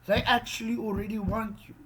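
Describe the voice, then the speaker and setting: A middle-aged man from South Africa with an Afrikaans accent, giving a casual lesson on job interviews, animated and checking in often with "okay?". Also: sits high, brisk, a little hiss or hum, low-quality recording. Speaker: online English teacher.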